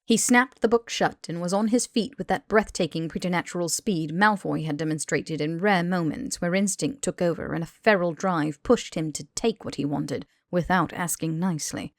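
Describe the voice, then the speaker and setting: A woman's voice: on the low side, normal speed, few pauses, no echo, studio quality. A woman reading fiction aloud as an audiobook narrator, in a scripted storytelling style.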